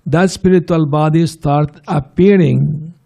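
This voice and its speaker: A man's voice: a little high, fairly even intonation, measured pace, vocal fry, warm tone. A man leading a scripture class and patiently answering questions from the audience. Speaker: swami giving a class.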